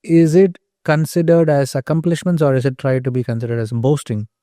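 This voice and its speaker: A man hosts a casual interview and asks questions hesitantly, with many ums. His voice is mellow and sits at a middle pitch. The recording is dry and close. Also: steady tone, brisk, no room sound. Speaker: podcast host.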